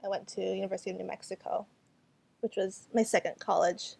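A woman's voice: medium pitch, fairly even intonation, brisk, creaky, a little mellow, breathy edge. A young woman artist whose first language was Cantonese answers interview questions hesitantly, with many fillers and restarts. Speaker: artist and photographer.

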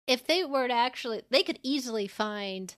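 A woman's voice: on the high side, lively intonation, moderate pace, a bit bright, dry recording, clean studio sound. A woman co-hosting a podcast in casual discussion, thinking aloud in a rambling, informal way full of filler words. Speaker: podcast co-host.

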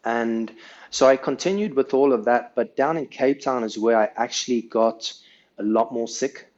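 South African man, podcast guest, mid-pitched voice, earnest casual storytelling, muffled lo-fi audio. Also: normal speed, darkish tone. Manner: earnest, tags sentences with "right?". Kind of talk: casual life-story interview.

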